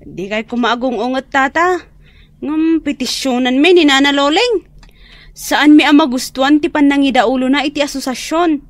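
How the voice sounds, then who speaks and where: A woman's voice: sits high, normal speed, no echo, lightly acted. A woman playing an indignant young single neighbour with an Ilocano accent in a comedy radio drama. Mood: determined.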